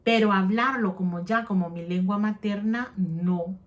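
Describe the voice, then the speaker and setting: A woman's voice: medium pitch, fairly even intonation, a little slow, muffled recording. Chatty woman vlogging. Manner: rambling, chatty, self-correcting; addresses viewers directly. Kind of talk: casual chat to camera.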